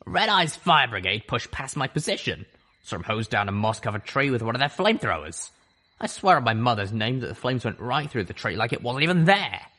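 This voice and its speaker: A man voicing a gruff, sardonic female griffin mercenary who chain-smokes, with a drawl. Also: mid-range voice, big pitch swings, fairly quick, sharp tone, pitched above the performer's own voice. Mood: blunt. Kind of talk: audiobook character voice.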